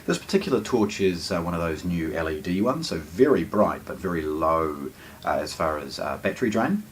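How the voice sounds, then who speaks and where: A man with a lowish voice talks through a product in a casual, explanatory way. His intonation rises and falls a great deal, and he pauses hesitantly between phrases. There is faint noise behind him. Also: animated. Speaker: product presenter. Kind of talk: casual hands-on unboxing commentary.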